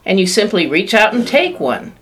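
A woman reading aloud, voicing an excitable, eccentric male chocolate maker who gives loud, commanding exclamations. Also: medium pitch, normal speed, slightly rough. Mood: excited.

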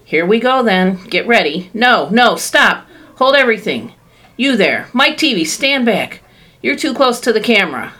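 A woman reading aloud, voicing an excitable, eccentric male chocolate maker who gives loud, commanding exclamations. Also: fairly low pitch, moderate pace. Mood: brisk showman.

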